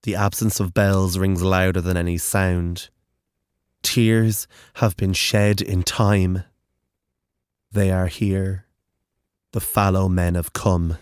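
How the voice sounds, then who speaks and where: A man narrating a scripted horror story in a grave, deliberate manner with measured dramatic phrases. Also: low-pitched, little pitch movement, darkish tone, clear, resonant tone, no echo, studio quality.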